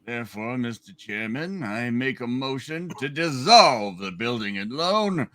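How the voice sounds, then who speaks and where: A man voicing a cold, contemptuous old rich banker with a pompous, deliberate delivery in an amateur radio-play reading. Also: mid-range voice, animated, a bit bright, voice pushed down.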